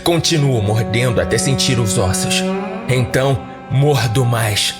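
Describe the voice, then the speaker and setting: Male Brazilian narrator for a horror podcast, mid-pitched, measured dramatic storytelling with music underneath. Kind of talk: scripted first-person horror story narration.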